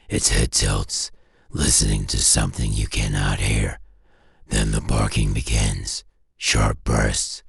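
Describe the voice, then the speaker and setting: A man narrating a scripted horror story in an ominous, deliberate way, with a gravelly, husky voice and short clipped phrases. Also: rumbling low, no echo, studio quality. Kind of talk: scripted analog horror narration, second-person.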